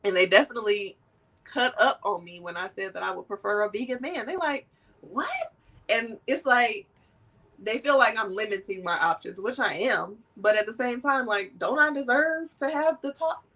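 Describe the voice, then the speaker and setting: A woman's voice: on the high side, expressive, normal speed, sharp tone, distant mic. A woman hosting a casual conversation, a little nasal from a cold and laughing often. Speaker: podcast host.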